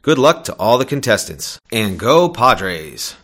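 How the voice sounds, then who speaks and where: A man's voice: medium pitch, very animated, unhurried, a bit bright. Upbeat man reading radio news. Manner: upbeat, announcing. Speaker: news reporter. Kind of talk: scripted radio news read.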